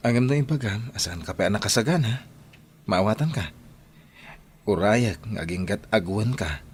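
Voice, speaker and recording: An actor playing a calm, patient boyfriend in a radio drama, with an Ilocano accent. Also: male voice, fairly low pitch, moderate pace, a little hiss or hum, lightly acted. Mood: tender, patient. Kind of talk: Filipino radio comedy drama acting.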